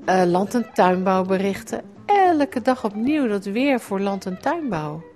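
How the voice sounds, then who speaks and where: A woman's voice: mid-range voice, sing-song, unhurried, darkish tone, soft background music. A middle-aged woman from the Netherlands, a writer telling a personal memory in a reflective radio essay with a wry edge.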